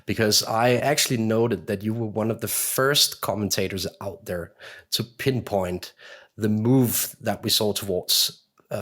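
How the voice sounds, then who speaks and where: A man co-hosting a podcast, putting questions to a guest in measured, somewhat formal phrasing. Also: on the low side, fairly bright tone. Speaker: podcast co-host, interviewer. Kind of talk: podcast interview.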